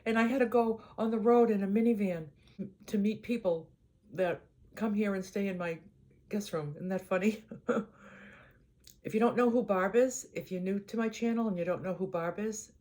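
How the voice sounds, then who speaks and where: A woman's voice: mid-range voice, moderate pace. Older woman with a Boston accent. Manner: rambling, easygoing, voice often trailing off quietly. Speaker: vlogger. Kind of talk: casual chat to camera.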